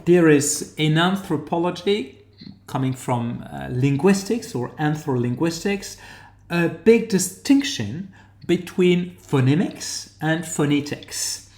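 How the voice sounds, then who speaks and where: Slow, halting New Zealand man lecturing. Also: fairly high pitch, animated, a little mellow, reverberant. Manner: halting, pauses to find words, explanatory. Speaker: academic lecturer. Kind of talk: screen-share tutorial lecture.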